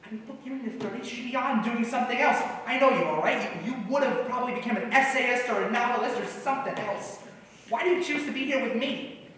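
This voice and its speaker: An actor playing a weary, self-doubting comedy writer in a stage play, speaking in halting, emphatic bursts with old-time slang. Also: male voice, very high voice, a bit bright. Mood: agitated, frustrated. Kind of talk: one-act stage play dialogue. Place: large reverberant hall, far from microphone. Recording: distant, echoey hall with background noise.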